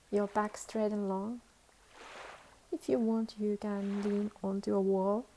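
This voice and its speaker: A woman leading a guided meditation as a teacher, with soothing, unhurried instructions and long pauses. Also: mid-range voice, gravelly, warm tone, slight background noise, rough recording.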